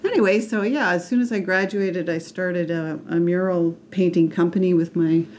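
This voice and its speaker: A woman's voice: on the low side, normal speed, a little mellow. A middle-aged woman from Canada, a guest artist in a casual interview, talking hesitantly with many restarts and fillers. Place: radio studio.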